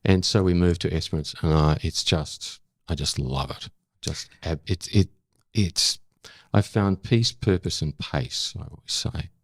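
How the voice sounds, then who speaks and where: Very deep, gravelly older Australian man. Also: unhurried, no echo. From South Australia. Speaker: interview guest, former broadcaster. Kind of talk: reflective conversational interview.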